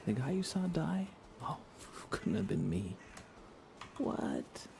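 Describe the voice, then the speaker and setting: A man's voice: mid-range voice, very animated, slow, vocal fry, warm tone, slight background noise. A man giving murmured ASMR commentary while livestreaming a video game, often repeating his phrases in playful mock grumbling. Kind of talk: whispered ASMR gaming commentary.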